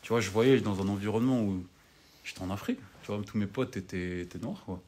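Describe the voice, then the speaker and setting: Very low-voiced man, chatty hockey player. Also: fast talker. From Cameroon. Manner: relaxed, chatty, peppers talk with "tu vois". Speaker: ice hockey player, guest. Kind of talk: casual interview chat.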